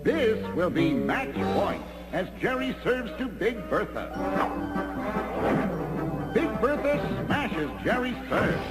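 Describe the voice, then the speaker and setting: An excitable cartoon tennis announcer, delivers theatrical play-by-play. The voice sits very high and swings animatedly, yet the calls come at an unhurried, slow pace over loud music. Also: male voice, exaggerated voice, poor audio. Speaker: sports commentator. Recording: music under the voice. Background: loud background music.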